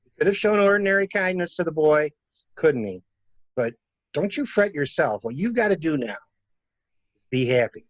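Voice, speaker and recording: A man's voice: fairly high pitch, very animated, moderate pace, long pauses, no room sound. A man playing a cynical, plain-spoken adviser in an amateur mystery play, blunt and wry. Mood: blunt, advising. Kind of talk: amateur murder mystery radio play acting.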